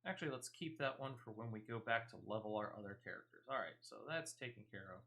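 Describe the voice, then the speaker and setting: Male game streamer, chatty and emphatic, bright tone, very animated intonation, off-the-cuff commentary. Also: on the low side, fast. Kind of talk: casual live gameplay commentary.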